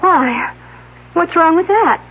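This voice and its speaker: A woman's voice: very high voice, lively intonation, slow pace, exaggerated voice. An actress playing a cornered, defiant young woman with melodramatic, emphatic delivery in an old radio mystery. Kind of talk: old-time radio drama acting. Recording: tinny, noisy vintage radio broadcast.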